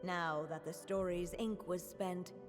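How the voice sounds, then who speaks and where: A woman playing a solemn storybook narrator in a video game, telling a fairy tale in a measured, expressive way. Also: fairly low pitch, natural voice. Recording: long reverb, faint music behind.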